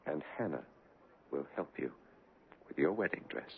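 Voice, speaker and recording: An actor playing a tormented, controlling fiancé in an intense, melodramatic 1970s radio drama style. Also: male voice, rumbling low, lively intonation, normal speed, gravelly, full character voice. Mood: hushed pleading. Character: George, anguished, controlling fiancé narrating his own torment. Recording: noisy, tinny vintage radio broadcast.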